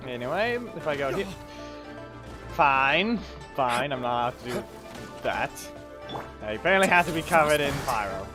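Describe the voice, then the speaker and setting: A male streamer talks through his game in a casual, rambling way. His voice sits at a middling pitch, and game music plays clearly behind him as he thinks aloud. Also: expressive, normal speed, pauses often. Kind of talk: casual live gameplay commentary.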